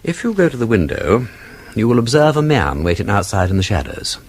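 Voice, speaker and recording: An actor playing an urbane, composed gentleman detective with an upper-class English accent, polite and understated, in a radio drama. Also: on the low side, sing-song, fairly quick. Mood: quietly solemn.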